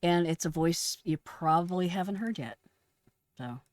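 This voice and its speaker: Low-pitched woman explaining things casually. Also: expressive, unhurried, darkish tone, no room sound. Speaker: retired dispatcher presenting radio traffic.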